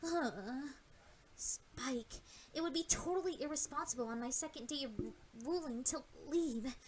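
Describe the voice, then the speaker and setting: A woman's voice: sits high, unhurried, background noise. A woman voicing a dutiful young female pony princess in an earnest, emotional way. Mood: worried.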